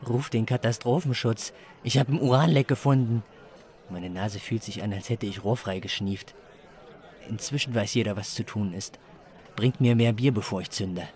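A man's voice: on the low side, a little mellow, close to an everyday voice. A man playing a crude, despairing chili judge who complains melodramatically in a comic story. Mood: agonized disbelief, then desperate.

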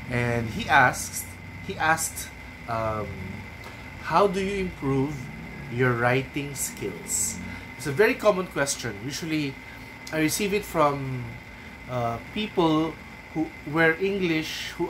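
Filipino man, 30s, writing coach, mid-pitched, relaxed thoughtful delivery, home webcam with steady hum. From Philippines. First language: Cebuano. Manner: unhurried, thoughtful, frequent ums and tag "no?". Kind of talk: casual advice talk to camera. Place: small room at home, indoors. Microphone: webcam at desk.

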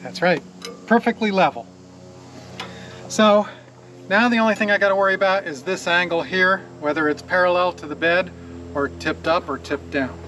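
A man's voice: sits high, moderate pace, pushed and bright. A man in his forties casually explaining a sawmill repair as he works outdoors, laughing easily. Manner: chatty, laughs easily, talks while working. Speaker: sawmill owner showing repairs. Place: outdoors in a wooded yard beside a sawmill. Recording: outdoor recording with clear background noise.

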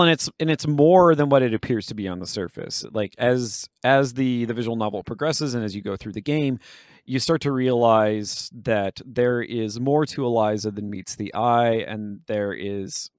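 A man with a mid-range voice co-hosts a podcast in a very dry recording. He explains in a casual, halting way, often rephrasing as he goes. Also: mid-range voice, a little mellow, no echo. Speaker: podcast co-host. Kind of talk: casual discussion of a video game.

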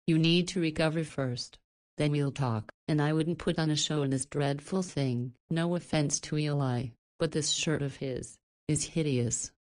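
A woman's voice: deep voice, a little slow, a little mellow. An actress playing a caring, flirty woman in a visual novel, chiding and teasing like a doting guardian.

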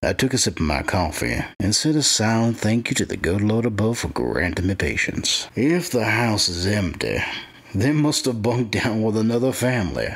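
Gravelly, grim small-town sheriff narrator. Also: male voice, fairly low pitch, sing-song, moderate pace, full character voice. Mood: grim, brooding. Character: grim secretive small-town sheriff telling his dark tale, 1920s. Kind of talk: first-person dramatic storytelling.

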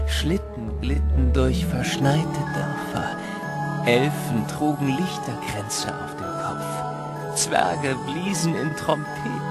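A man acting as a wonderstruck storyteller, narrating a storybook audio drama in the first person. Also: medium pitch. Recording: loud music under the voice. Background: music.

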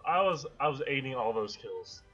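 Bright-voiced man in game chat. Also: mid-range voice, lively intonation, normal speed, muffled recording, soft background music. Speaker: gamer playing in a team shooter. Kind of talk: casual in-game team chat.

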